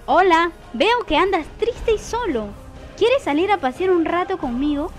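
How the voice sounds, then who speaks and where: Woman doing a coaxing female marijuana character in a radio soap, high n swoopy, music under it. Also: big pitch swings, unhurried, full character voice. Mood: coaxing, chummy. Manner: coaxing, chummy. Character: seductive personified marijuana, female, coaxing false friend. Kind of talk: educational radio soap acting.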